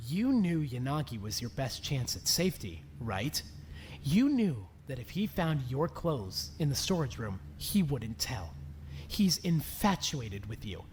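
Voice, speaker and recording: An actor voicing a smug, accusing young male student in an animated murder mystery, deliberate and taunting. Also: medium pitch, a little hiss or hum.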